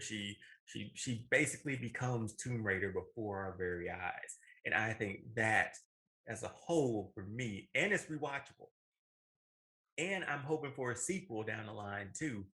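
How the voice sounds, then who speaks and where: Guy co-hosting a casual podcast, bright punchy voice, rambles and corrects himself a lot. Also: fairly low pitch. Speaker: podcast co-host. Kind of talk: casual animated movie discussion.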